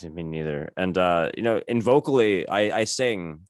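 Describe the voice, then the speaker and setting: A man's voice: low voice, expressive, moderate pace, no echo, clean studio sound. A man in his thirties hosting a casual interview, rambling and correcting himself as he talks. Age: 30s. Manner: rambling, self-correcting, chuckles while talking. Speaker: podcast host.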